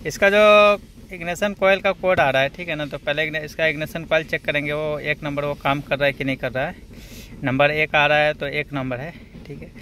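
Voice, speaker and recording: A man's voice: a little high, fairly even intonation, brisk, sharp tone. A man working as an auto electrician explains a repair step by step in an informal, hands-on tutorial style, often adding short check-in tags. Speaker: auto electrician and video host. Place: in and beside a car, at the engine bay. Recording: noisy handheld workshop recording with engine noise.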